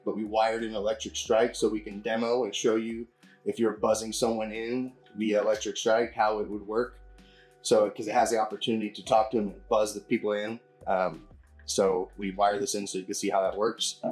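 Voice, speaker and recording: Man, fairly deep voice, casual product reviewer, informal explanatory delivery, repeats himself, faint music behind. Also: a little mellow, low-quality recording. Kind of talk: casual hands-on product demonstration.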